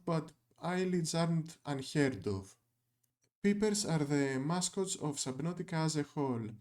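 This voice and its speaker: Young guy, greek is his first language, reading his script with dry little jokes, voice on the higher side. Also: darkish tone. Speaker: video essayist. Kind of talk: scripted narration.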